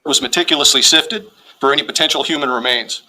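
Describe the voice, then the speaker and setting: Fast, bright-voiced man reading an official statement. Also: medium pitch. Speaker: police spokesman. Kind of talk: formal press statement, read out.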